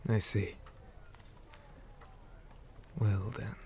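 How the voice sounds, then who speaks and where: A man playing a weary, stern orc commander in an ASMR roleplay, speaking in a hushed, deliberate manner that often drops toward a whisper. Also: lowish voice, little pitch movement, a little hiss or hum. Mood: calm, probing. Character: weary male orc commander, stern, measured, dutiful soldier. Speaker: interrogator in a roleplay.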